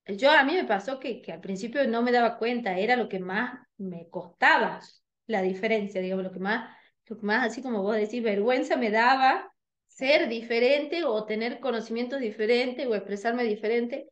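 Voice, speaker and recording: A woman's voice: normal speed, lo-fi sound. A woman with a Tucumán Argentine accent, co-hosting a radio podcast and speaking in a sincere, reflective way. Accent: Tucumán Argentine Spanish. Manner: sincere, reflective, self-described high-pitched voice. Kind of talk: casual radio chat.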